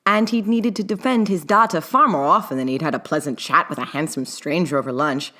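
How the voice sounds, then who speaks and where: A woman's voice: sits low, fairly quick, bright, forceful tone. A woman reading her own fiction aloud as scripted story narration.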